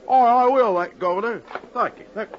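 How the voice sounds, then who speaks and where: An actor playing an obsequious working-class London pub fellow, in old-time radio drama style. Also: male voice, extremely high pitch, moderate pace. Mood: whiny, deferential. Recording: dull lo-fi radio recording.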